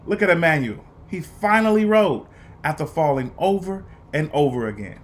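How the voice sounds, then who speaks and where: A man reading a children's picture book aloud, phrasing it dramatically and deliberately with emphatic pauses. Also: fairly high pitch, lively intonation. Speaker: storybook reader.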